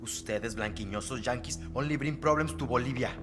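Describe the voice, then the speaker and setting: Actor as fast-talking indignant young Bolivian man. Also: medium pitch, natural voice. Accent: Latin American Spanish. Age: young adult. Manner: indignant, accusing. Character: hot-headed young Bolivian man, idealistic, mistrustful son. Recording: dramatic music behind.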